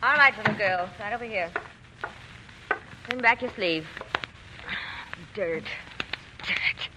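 An actress playing a stern, disapproving clinic nurse, curt and businesslike, in a 1940s radio drama. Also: female voice, medium pitch, slow pace, natural voice. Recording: very noisy 1940s radio broadcast.

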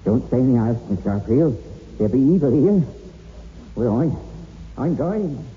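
An actor playing a superstitious, nervous old family servant in a 1960s radio horror play, hesitant, fretful and ominous. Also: male voice, mid-range voice, animated, measured pace, close to an everyday voice. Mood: grave foreboding. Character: superstitious, nervous eighty-year-old male family retainer. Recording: muffled, phone-like, noisy old radio recording.

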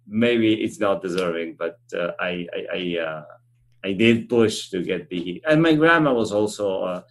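A middle-aged man with an Argentine accent, answering interview questions in an earnest, halting, conversational way. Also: deep voice. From central Argentina. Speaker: animal shelter representative being interviewed.

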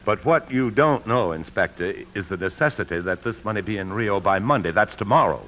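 Deep-voiced nervous American husband. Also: male voice, animated, brisk, a bit bright, low-quality recording. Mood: jittery, defensive. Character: nervous American bank employee husband hiding a secret. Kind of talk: 1950s American radio drama acting and narration. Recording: old radio broadcast with faint music.

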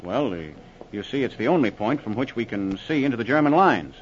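An actor playing a cautious, deferential young officer in a 1940s radio war drama, speaking a little hesitantly. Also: male voice, medium pitch, expressive, fairly quick, close to an everyday voice. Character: polite, cautious male army scout lieutenant. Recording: muffled, noisy old radio recording.

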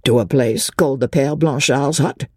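A man voicing a suave, calculating male official in an audiobook, quietly commanding and impatient, with menace underneath. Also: medium pitch, moderate pace, slightly rough, dry recording, studio quality, pitched above the performer's own voice, lightly acted. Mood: suave probing. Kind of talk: audiobook character voice.

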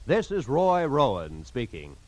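A man's voice: mid-range voice, wildly swooping pitch, a little slow, dull audio. A man with an American accent announcing scripted credits on 1940s radio in a formal, polished style.

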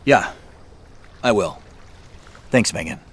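An actor playing a thoughtful, wry and slightly weary business leader in a naturalistic audio drama, speaking in a low-key, halting way. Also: male voice, expressive, slightly rough, exaggerated voice. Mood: reluctant.

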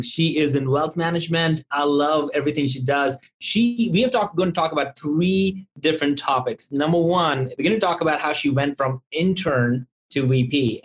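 A man hosting a podcast in an office, chatting casually and informally as he interviews and chimes in. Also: on the high side. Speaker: podcast host.

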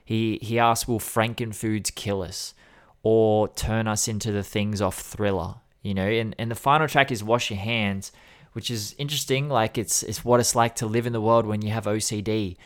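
A man's voice: lowish voice, fairly even intonation, moderate pace. A man co-hosting a music podcast, reviewing an album in an earnest, analytical and enthusiastic way. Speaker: podcast co-host.

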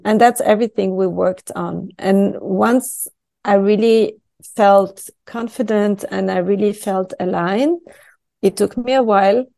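A woman's voice: medium pitch, vocal fry, mellow. A middle-aged woman from Switzerland, a native French speaker with a French accent, talking conversationally as an interview guest. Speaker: podcast guest, expat coach.

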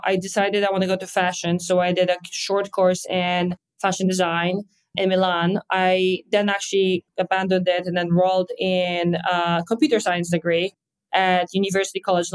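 A woman's voice: medium pitch, poor audio. A woman in her thirties from Poland with a Polish accent, a business executive speaking as a podcast guest in an upbeat, candid way. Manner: upbeat, quick and candid with light laughs. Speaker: guest, fitness company executive. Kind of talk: podcast interview.

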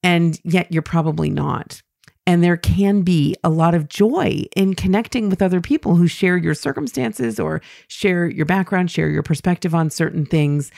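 A woman hosting a podcast, chatting warmly with guests and reading listener mail aloud. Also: fairly low pitch, moderate pace, vocal fry, no echo, clean studio sound. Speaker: podcast host. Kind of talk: casual podcast talk.

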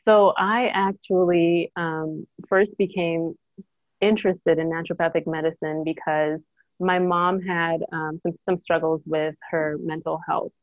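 Woman from Trinidad, lowish voice, personal storytelling, interview guest, dry thin phone-like sound. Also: little pitch movement, measured pace, no echo. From Trinidad, then the United States. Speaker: guest, naturopathic doctor. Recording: thin phone-like call audio.

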